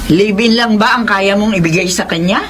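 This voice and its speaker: A woman's voice: medium pitch, moderate pace, a bit bright, a little hiss or hum, close to an everyday voice. A woman with a Filipino accent playing a skeptical, protective but caring middle-aged mother in a radio drama. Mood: alarmed, doubtful.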